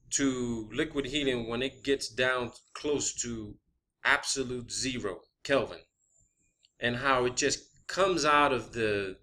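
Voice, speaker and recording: A man's voice: mid-range voice, bright, forceful tone. A man hosting his own video channel, talking off the cuff in an emphatic, explanatory monologue and repeating phrases for stress.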